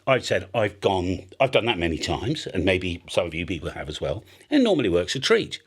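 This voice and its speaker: Lively, chatty British man. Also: low voice, brisk, husky. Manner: chatty, animated, acts out example exchanges. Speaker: ham radio video host. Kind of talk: casual explainer chat.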